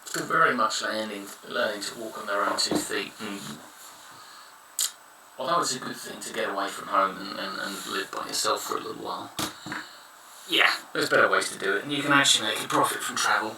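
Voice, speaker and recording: A man's voice: lowish voice, fairly quick, noisy background. A man in his thirties giving frank, rambling advice in a casual monologue. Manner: frank, rambling, blunt opinions. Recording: echoey room, somewhat far from mic.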